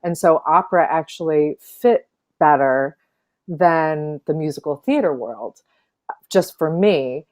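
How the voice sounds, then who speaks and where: A woman from Illinois, an opera singer, chatting as an interview guest over a video call, thoughtful with many fillers and soft laughs. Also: low voice, slow. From Illinois, USA. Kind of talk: casual interview chat.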